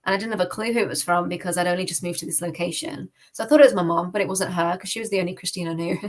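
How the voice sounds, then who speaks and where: A woman's voice: fairly low pitch, steady tone, very fast. British woman sharing informal personal testimony. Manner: informal, peppers speech with "like". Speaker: podcast guest.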